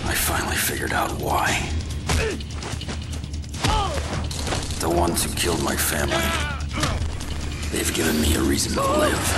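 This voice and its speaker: An actor playing a grim male vigilante out for revenge in a video game, brooding and menacing in a hushed, gravelly rasp. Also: extremely low pitch, heavily acted. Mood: grim, vengeful. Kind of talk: noir video game monologue. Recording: reverberant voice under loud music. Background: loud music.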